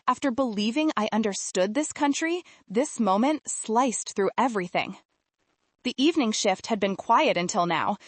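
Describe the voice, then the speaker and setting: A woman's voice: on the high side, no room sound. A woman storyteller reading a scripted, dramatic first-person narration.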